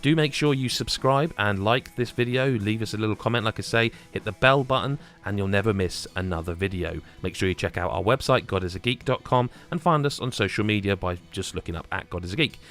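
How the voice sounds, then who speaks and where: A man's voice: fairly low pitch, brisk, few pauses, fairly bright tone. A man reading a scripted video game review as the reviewer.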